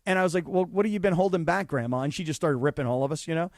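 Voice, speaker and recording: A man's voice: a little high, rapid-fire, no room sound, clean studio sound. A man in his forties co-hosting sports talk radio, sounding exasperated and sarcastic, often mimicking others. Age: 40s. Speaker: radio show co-host. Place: radio studio. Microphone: studio microphone.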